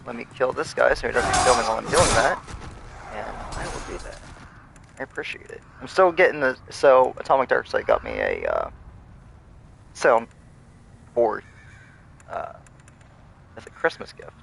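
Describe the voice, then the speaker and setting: A man streaming a game, musing on philosophy in a rambling, thoughtful way with many pauses and fillers. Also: wildly swooping pitch, vocal fry, fairly bright tone, a little hiss or hum. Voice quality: low-voiced. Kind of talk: casual musing while livestreaming a game.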